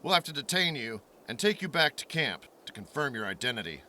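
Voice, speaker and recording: A man playing a wary, authoritative military captain in a post-apocalyptic audio drama, briskly giving orders. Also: medium pitch, big pitch swings, sharp tone. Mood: stern, commanding.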